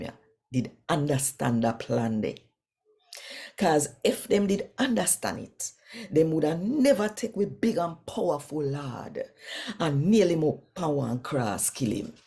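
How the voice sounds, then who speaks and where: Casting: Jamaican woman, scripture reader, low creaky voice, expressive and emphatic delivery. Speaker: scripture reader and host of a daily reading.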